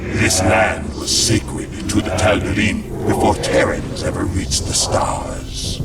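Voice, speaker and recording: An actor playing a stern, zealous alien warrior, speaking formally and with solemn command in a game. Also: male voice, fairly low pitch, takes it slowly. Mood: stern warning. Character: stern zealous male alien warrior guarding holy ground. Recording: noisy, distant, processed transmission.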